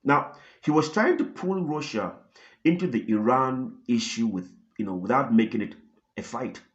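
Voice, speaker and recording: A man who works as a content creator, giving dramatic, storytelling news commentary to viewers with emphatic pauses. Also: mid-range voice, animated, highs cut off.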